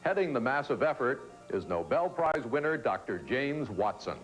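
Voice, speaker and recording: A man reading scripted narration for a television news special in a measured, emphatic way. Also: medium pitch, big pitch swings, low-quality recording. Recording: 1980s television on VHS, music underneath. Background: background music.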